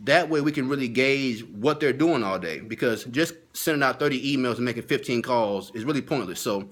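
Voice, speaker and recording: A man's voice: medium pitch, fairly quick, a bit bright. A man speaking as a sales training consultant in an informal video lesson, stressing and repeating his key points. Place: at home.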